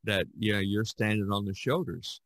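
Older man with a low voice. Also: moderate pace, fairly bright tone, no echo. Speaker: podcast host. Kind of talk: casual chat.

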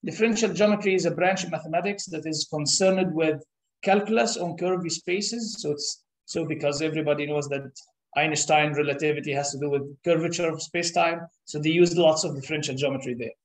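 A man's voice: on the high side, steady tone. Egyptian-born man in an echoey room. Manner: thoughtful, with frequent "uh" pauses and restarts. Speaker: interview guest, professor. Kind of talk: explanatory interview answers. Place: conference room. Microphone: camera across a table.

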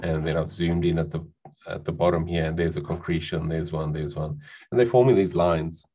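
Deep South African man, husky voice. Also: brisk, dull audio. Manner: relaxed, conversational, frequent fillers. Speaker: presenter. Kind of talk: online presentation talk. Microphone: video call microphone.

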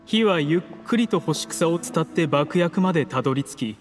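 男性の声優がゲームで演じる、礼儀正しく落ち着いた口調で脅す冷酷な策士の役人。. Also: male voice, fairly high pitch, animated, natural voice. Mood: calculating menace. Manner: courteous, measured, menacing formal speech. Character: coolly ruthless scheming male official, polite betrayer. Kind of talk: dramatic game cutscene acting in Japanese anime manner. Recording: long reverb, music underneath.

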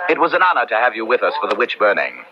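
Low, swooping, smug colonial gentleman. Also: wildly swooping pitch, very fast, a crowd in the background. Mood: unctuous. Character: smug titled colonial gentleman, accuser of witches. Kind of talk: old radio drama acting. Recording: muffled old radio drama recording.

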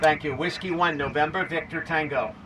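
Higher-pitched older man calling radio contacts. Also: brisk, background noise. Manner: clipped, procedural call-out. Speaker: amateur radio operator. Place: inside a parked minivan.

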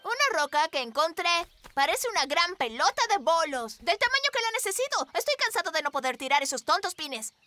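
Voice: extremely high pitch, very animated, a little fast, few pauses, sharp tone, heavily acted. An actor voicing an impulsive, blustering little bear cub in a children's cartoon, exclaiming dramatically. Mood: grumbling. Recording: voice over children's cartoon music.

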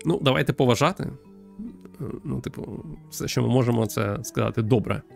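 Male game streamer, thirties, medium pitch, vocal fry, thoughtful halting commentary, close mic, music behind. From Ukraine. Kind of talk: livestream commentary and reading aloud of a visual novel. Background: background game music.

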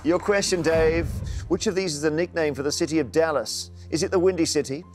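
Brisk, higher-voiced quiz show host. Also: male voice, music in the background. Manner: brisk, crisp announcing, reading questions aloud. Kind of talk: scripted game show presenting. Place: large TV studio indoors. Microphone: lapel mic.

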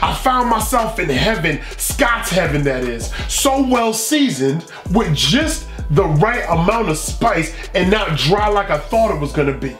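A man's voice: sits high, big pitch swings, measured pace, few pauses, over music. A middle-aged man hosting a food vlog, reading reviews aloud with playful, emphatic commentary and repetition. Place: small furnished room indoors. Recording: echoey indoor room.

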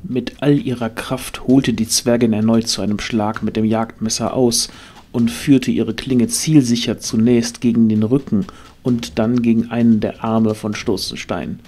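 Male fantasy audio drama narrator, medium pitch. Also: fairly even intonation, a little slow, a little mellow, slight background noise.

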